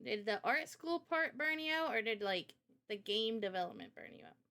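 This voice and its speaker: A woman's voice: on the high side, lively intonation, normal speed, bright, forceful tone. A woman chatting casually on a livestream Q&A in a giggly, informal way, as the host. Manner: giggly, laughs often while talking. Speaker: host and visual novel developer.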